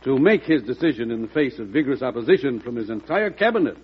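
Flustered senator, halting, formal, expressive pitch, muffled vintage radio drama. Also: male voice. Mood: earnest. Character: flustered, modest male senator in early America. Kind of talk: old-time radio drama acting. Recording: muffled, husky old radio recording.

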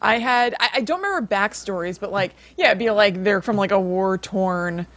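Casual female podcast co-host, mid-pitched, chatty and informal storyteller. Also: moderate pace, a bit bright. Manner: chatty, informal, frequent use of "like".

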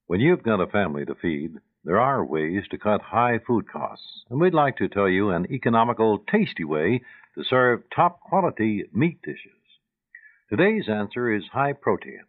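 A man's voice: on the low side, big pitch swings, moderate pace. A man reading a scripted radio advertisement as a commercial announcer. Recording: tinny old radio broadcast.

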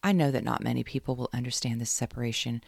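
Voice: mid-range voice, fast talker, creaky, no echo. A reader voicing a subdued, questioning woman writer who feels lost, in an audiobook. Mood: weary.

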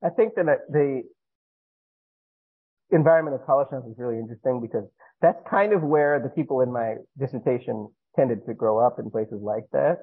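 A man's voice: medium pitch, moderate pace, husky, phone-quality sound. An American man from the Northeast chatting casually as an interviewer, informal and rambling, often cutting himself off. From Northeastern United States. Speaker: interviewer, host. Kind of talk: casual chat.

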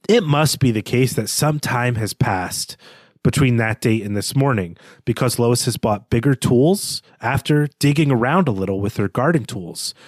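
A man's voice: mid-range voice, no room sound, studio quality. A man co-hosting a podcast, talking through a literary analysis in a thoughtful, hesitant, conversational way. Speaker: podcast co-host.

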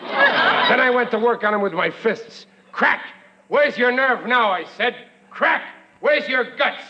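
A man's voice: extremely high pitch, sing-song, a little slow, full character voice. An actor playing a blustering, boastful working-class family man in 1940s radio comedy, stammering when flustered. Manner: bragging, ungrammatical, comically exasperated. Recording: dull, noisy old radio recording.

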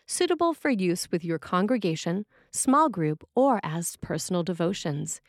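Woman reading a sermon script, measured delivery, mid pitch, really dry close recording. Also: darkish tone, dry recording. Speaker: sermon reader.

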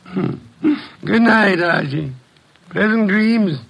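A man's voice: high voice, slow pace, creaky, a bit bright, heavily acted, poor audio. A man playing a pompous, irritable genius detective, speaking formally and wordily in an old-time radio drama. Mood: dry, placid. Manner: pompous, wordy, formal, sardonic.

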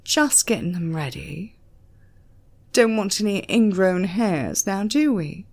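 A domineering young British woman from an audio story, as a female narrator plays her. She taunts and orders people about, drawing her words out slowly with big swings in pitch. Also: lively intonation, darkish tone. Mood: gloating.

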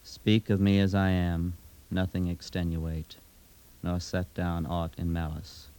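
An actor playing a proud, curt outlaw ex-soldier reading a formal letter in a measured, declamatory 1950s radio drama style. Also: male voice, sits low, level tone, warm tone, clear, resonant tone, poor audio. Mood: proud, firm.

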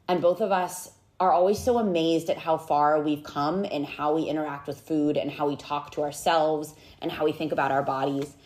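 A woman's voice: deep voice, darkish tone. A woman in her thirties, a podcast host and nutrition coach, chatting casually and upbeat with her best friend. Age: 30s.

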